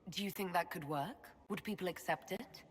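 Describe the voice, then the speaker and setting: An actress playing a curious female inquisitor in a video game, asking questions in a soft, inquiring manner. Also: fairly low pitch, normal speed, big-room sound, a little hiss or hum, close to an everyday voice.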